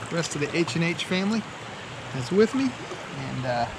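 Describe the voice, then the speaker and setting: A man's voice: a little high, expressive, normal speed. Laid-back man vlogging in noisy outdoors. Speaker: vlog host. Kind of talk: casual camping vlog. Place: outdoors at a state park and campsite. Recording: noisy outdoor recording with insects.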